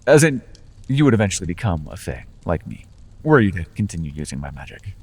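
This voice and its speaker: A man's voice: sits low, sing-song, brisk, darkish tone, slightly rough, long reverb tail, full character voice. A man voicing a playful male fae patron in an ASMR fantasy roleplay, talking in a charming, teasing, conversational way with small restarts.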